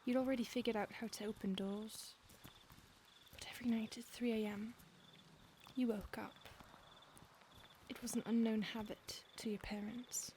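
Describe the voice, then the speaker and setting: Woman as centuries-old vampire stalker, female, soft menacing whispers, higher voice, noisy hiss behind. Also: normal speed, pauses often, close to an everyday voice. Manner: soft, intimate, often whispered; calm, menacing, possessive. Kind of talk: audio roleplay.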